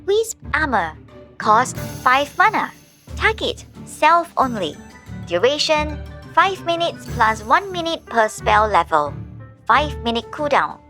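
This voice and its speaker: A woman's voice: sits high, sing-song, takes it slowly, bright, forceful tone, faint music behind, exaggerated voice. A woman voicing a showy game announcer reading out awards and item stats in a theatrical, snarky manner. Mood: brisk, snarky. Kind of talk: game system announcements, scripted.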